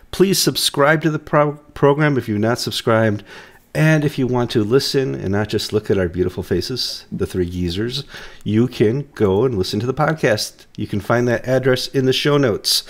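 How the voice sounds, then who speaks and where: A middle-aged man hosting a casual news chat over a video call, joking and quick with asides, his voice deep from a cold he is getting over. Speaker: host. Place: home room, video call.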